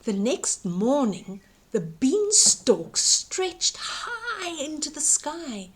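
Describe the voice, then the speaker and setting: A woman storyteller with a fairly high, very animated voice. She reads a children's bedtime tale very slowly, stretching key words and building suspense. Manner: hushed suspense and emphatic, drawn-out words. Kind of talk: dramatic bedtime story read-aloud.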